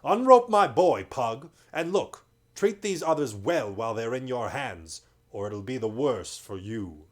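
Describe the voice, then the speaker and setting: A man's voice: mid-range voice, big pitch swings, a little slow. A man voicing a stern, proud bearded male lord in a read-aloud story.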